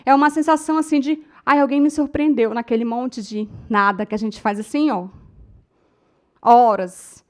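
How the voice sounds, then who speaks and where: High-voiced Brazilian woman teaching a class. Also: fairly even intonation, moderate pace. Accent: Brazilian Portuguese. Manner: emotive storytelling, addresses the audience as "gente". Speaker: public speaking instructor. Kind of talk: lecture to a class.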